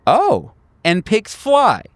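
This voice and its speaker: A man's voice: high-pitched, wildly swooping pitch, extremely slow, dry recording, pitched above the performer's own voice, heavily acted. Tabletop game role: snippy late-forties woman, played by a man, curt, scornful, combative, clean dry sound. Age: middle-aged. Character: irritated, sarcastic late-forties woman slamming doors on agents.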